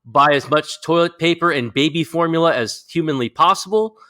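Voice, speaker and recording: A man's voice: on the high side, fairly quick, pushed and bright. An American man hosting a podcast, chatting casually with joking banter. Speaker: podcast host. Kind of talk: casual interview chat.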